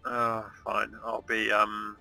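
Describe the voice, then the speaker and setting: A young English man co-hosting a gaming video, chatting casually and shouting excitedly during play. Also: lowish voice, takes it slowly, gravelly, soft background music, low-quality recording. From England. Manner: excitable, loud bursts of shouting. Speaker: gaming channel co-host. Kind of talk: casual gameplay chat.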